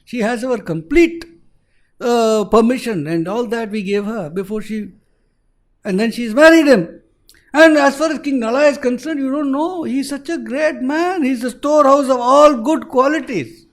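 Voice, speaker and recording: A man's voice: high-pitched, lively intonation, measured pace. A man voicing a proud male king of the gods who lectures and warns emphatically. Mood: admonishing.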